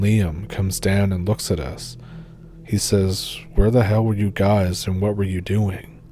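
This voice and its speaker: Man, Maritime Canadian accent, low voice, warm mellow tone, calm storytelling narration. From New Brunswick, Canada. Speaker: storytelling channel host. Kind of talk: reading viewer encounter stories.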